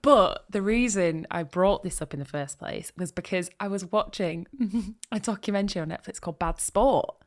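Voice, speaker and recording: A woman's voice: mid-range voice, moderate pace, hardly any pauses. A woman co-hosting a podcast, chatting casually and telling a story with frequent giggles. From United Kingdom. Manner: giggly, storytelling, laughs often. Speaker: podcast co-host. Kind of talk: casual chat.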